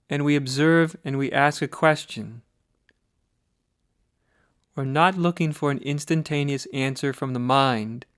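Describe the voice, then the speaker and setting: An American man teaches meditation in a clear, smooth voice at a medium pitch. He speaks very slowly and deliberately, setting down short phrases with pauses between them. The recording is dry, with little room sound. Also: fairly bright tone, no room sound. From United States. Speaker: spiritual teacher leading guided meditation. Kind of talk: guided meditation instruction.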